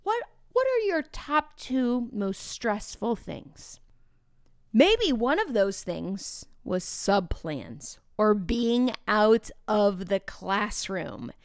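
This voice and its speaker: A woman hosting a podcast for teachers, talking in an energetic, chatty, enthusiastic way. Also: mid-range voice, very slow, dry recording, studio quality. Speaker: podcast host. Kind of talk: upbeat podcast talk to teachers.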